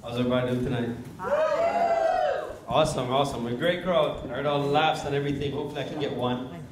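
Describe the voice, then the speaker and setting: A man's voice: mid-range voice, steady tone, fast. A man from Jamaica performing stand-up comedy, telling stories on a club stage, recorded with echo and noise from a distance. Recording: echoey, noisy club, distant mic.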